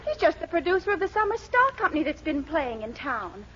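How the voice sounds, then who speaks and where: A lovelorn young woman with a very high voice, earnest and exasperated. She pleads emphatically in melodramatic 1940s radio comedy style, on a dull recording with faint noise. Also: big pitch swings, a little fast, fairly bright tone. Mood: pleading.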